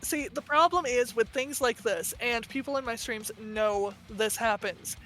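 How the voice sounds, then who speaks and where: A woman from Texas with a Michigan accent, chatting excitably as a streamer host. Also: fairly high pitch, unhurried, rough recording. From Texas, United States. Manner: excitable, bursts into loud protests. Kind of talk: casual stream chat.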